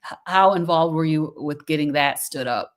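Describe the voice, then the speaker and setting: A woman's voice: deep voice, moderate pace. An older woman hosting an interview show, chatty and enthusiastic, rambling with fillers. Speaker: podcast host. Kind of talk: casual interview.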